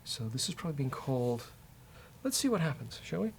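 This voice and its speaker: A man hosting a casual live-coding session, thinking aloud as a software developer. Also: medium pitch, sing-song, brisk. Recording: faint noise, keyboard typing.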